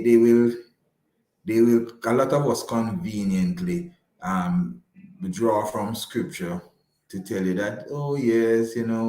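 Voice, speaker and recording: A man with a fairly low voice talks as an advisor being interviewed. He builds his points haltingly, doubling back on words. The sound is noticeably roomy and echoing. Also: a little mellow. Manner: halting, repeats words while forming points. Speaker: guest financial advisor. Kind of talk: conversational interview answers on faith and finances.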